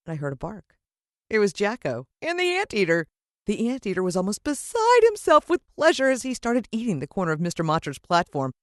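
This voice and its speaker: An American woman narrating a children's adventure story in an expressive, suspenseful storytelling style. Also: on the low side, dry recording, clean studio sound. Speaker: audiobook narrator.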